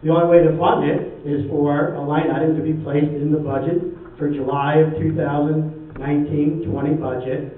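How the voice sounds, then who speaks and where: A man's voice: a little high, flat delivery, moderate pace. Echoey, older-sounding man addressing a meeting. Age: middle-aged or older. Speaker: town attorney. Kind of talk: explaining at a public town meeting. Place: large meeting room with stage, indoors. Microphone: room pickup, later handheld microphone. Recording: echoey meeting hall, distant dull sound.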